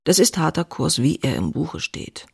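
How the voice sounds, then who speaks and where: A woman's voice: low voice, very animated, normal speed, vocal fry, husky, exaggerated voice. An actress playing an outspoken, passionate woman writer who confides in her diary in an intimate, wry way. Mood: wry, bitter. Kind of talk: dramatized diary reading, scripted narration.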